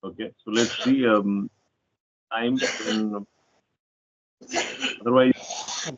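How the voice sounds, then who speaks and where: A man's voice: fairly low pitch, steady tone, very slow, background noise. Hesitant Indian man on a tinny call. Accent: Indian English. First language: Kannada. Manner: hesitant, many fillers. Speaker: YouTuber and host. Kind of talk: casual video call chat. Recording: tinny phone-like call audio.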